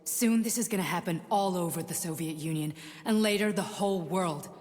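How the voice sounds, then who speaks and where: In a reverberant hall, a woman plays a defiant scientist with a fairly low voice. She speaks with earnest, pointed intensity, a knowing game character who explains with urgency. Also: a little fast, darkish tone, close to an everyday voice. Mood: accusing. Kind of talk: video game cutscene acting. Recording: long reverberant hall sound.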